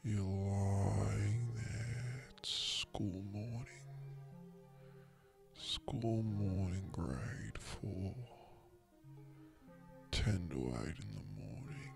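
Very slow, creaky-voiced Australian man. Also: fairly low pitch, animated. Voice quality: breathy, often dropping into a whisper. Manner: slow, drawn-out, rambling and repetitive. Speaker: guided meditation host. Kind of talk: comedic guided meditation, ASMR-like. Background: background audio music.

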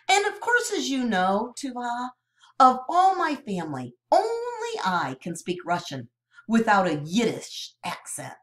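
A woman reads a novel aloud in a slow, deliberate storytelling voice. Her pitch sits low but swings widely, giving the reading an expressive lilt. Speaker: narrator.

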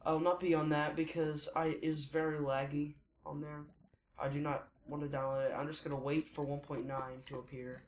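Chatty teen guy narrating his game, kinda high voice, echoey room w noise behind. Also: flat delivery, a little fast. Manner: rambling, chatty, frequent self-corrections. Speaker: gaming YouTuber. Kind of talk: casual Let's Play commentary.

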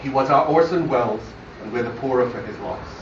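A man with a Barbadian accent delivers a scripted memorial speech about his father in measured, formal storytelling fashion. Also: medium pitch, background noise. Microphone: phone camera far from the speaker. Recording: distant, muffled phone recording, echoey room.

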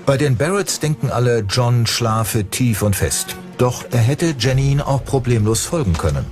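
A man's voice: medium pitch, animated, a little slow, darkish tone. A man reading a scripted true-crime documentary narration. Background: music behind the voice.

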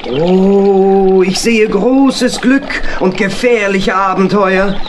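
High-pitched old beggar rat. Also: male voice, drawn-out words. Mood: mysterious, prophetic. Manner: theatrical, flattering, prophetic. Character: scrawny old blind beggar rat, mysterious vagabond fortune-teller. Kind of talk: children's radio play acting. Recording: noisy vintage record.

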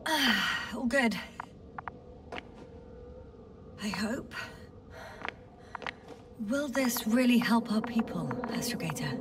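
A woman playing a curious, earnest young space scientist in a video game, with a hesitant, soft-spoken manner. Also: fairly high pitch, lively intonation, slow pace, far from the mic, a little hiss or hum. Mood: uneasy, hopeful. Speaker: crew scientist. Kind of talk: naturalistic video game acting.

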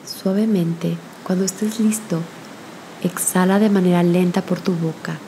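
A woman leading a guided spiritual meditation in a gentle, soothing manner with long pauses. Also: fairly low pitch, steady tone, noisy background.

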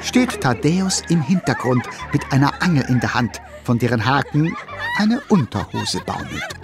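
A man narrating a children's audio drama in a playful, expressive storytelling style. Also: mid-range voice, normal speed, music in the background.

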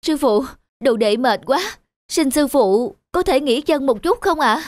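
A woman voicing an eager, obedient young male disciple in a cartoon folktale, respectful and expressive. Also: sits high, unhurried, dry recording. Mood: tired, respectful. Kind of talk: cartoon voice acting. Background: faint music.

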